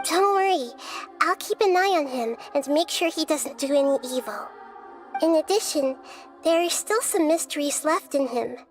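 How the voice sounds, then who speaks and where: A gentle child goddess. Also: female voice, high voice, expressive, faint music behind. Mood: thoughtful. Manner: soft, measured, explanatory. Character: gentle young girl goddess of wisdom, calm and thoughtful. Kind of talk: video game dialogue.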